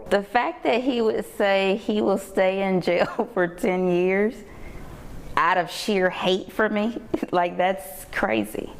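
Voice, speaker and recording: A woman's voice: medium pitch, steady tone, slow, clear, resonant tone. An American woman answering questions in a TV news interview, speaking in an emphatic, plain-spoken way. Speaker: interviewee, local politician.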